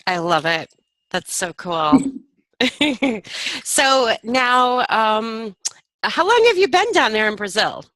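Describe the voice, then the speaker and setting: Woman podcast host, bright tense tone, bouncy lively pitch, bubbly and laughing, dry close sound. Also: no echo. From Ohio, USA. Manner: bubbly, laughs often, quick exclamations. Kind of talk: casual interview chat.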